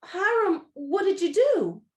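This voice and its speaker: A woman's voice: very high-pitched, big pitch swings, slow, full character voice. An actress playing an anxious wife and mother in a radio play, fussing and worried. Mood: alarmed.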